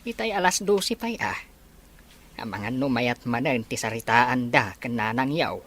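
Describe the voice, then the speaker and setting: Woman as husky, swooping old Ilocano grandpa. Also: extremely low pitch, lively intonation, measured pace, a bit bright, heavily acted. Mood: concerned. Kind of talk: dramatized family audio story.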